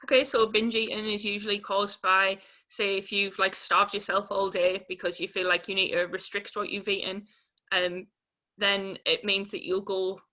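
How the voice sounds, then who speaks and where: A woman's voice: mid-range voice, level tone, normal speed, sharp tone. A woman, giving a candid personal account in an informal, hesitant way as an interviewee. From Newcastle upon Tyne, England. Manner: informal, hesitant, peppered with 'like'.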